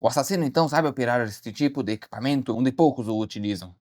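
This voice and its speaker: Man playing a fast-talking, brooding cartoon detective. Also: mid-range voice, a little mellow, close to an everyday voice. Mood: grave, deducing. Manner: theatrical, deliberating aloud, slangy asides. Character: earnest amateur detective, brooding and easily startled. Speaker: detective character in an animated mystery short. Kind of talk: dramatic monologue, animated short voice acting.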